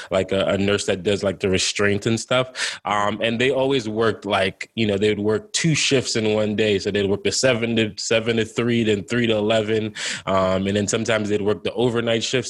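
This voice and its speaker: A young adult man from Jamaica, raised in New York City, telling his story in a relaxed, casual way with many fillers. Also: fairly low pitch, no echo. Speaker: guest entrepreneur.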